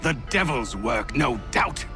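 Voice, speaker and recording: A man's voice: mid-range voice, lively intonation, a little slow. A man voicing an arrogant, imperious army general in a game cutscene, over a music score. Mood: scornful. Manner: imperious, scornful, given to angry outbursts. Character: arrogant stern British general, middle-aged. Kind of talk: video game cutscene acting.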